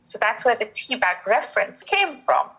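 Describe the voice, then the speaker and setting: A woman's voice: a little high, big pitch swings, moderate pace, a bit bright, slightly rough, rough recording. A middle-aged woman, reflectively recalling personal experience in an interview. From Britain, London.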